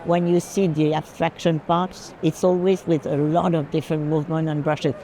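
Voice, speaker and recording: Female curator, dark relaxed timbre, opinionated, casual discussion, clear background noise. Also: low-pitched, moderate pace. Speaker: curator and collection advisor. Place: busy art fair. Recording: clear urban ambient noise.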